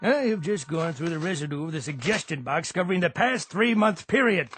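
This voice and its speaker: An actor playing an exasperated, sarcastic company boss in a comic radio drama, speaking formally and deliberately with withering disdain. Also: male voice, on the high side, expressive, fairly bright tone, a little hiss or hum.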